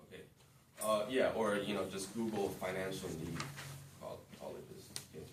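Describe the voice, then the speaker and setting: A man's voice: low voice, moderate pace. A man in his thirties giving an informal talk to students, with lots of "um" and "okay?" check-ins. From born in the United States, raised in Japan. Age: 30s. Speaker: guest speaker to students. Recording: roomy, distant, noisy room recording.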